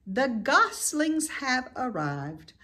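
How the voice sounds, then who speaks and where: A woman's voice: sits high, big pitch swings, extremely slow, sharp tone, pitched above the performer's own voice, heavily acted. A woman voicing a gracious female spider in a storybook, formal, wordy and ceremonious.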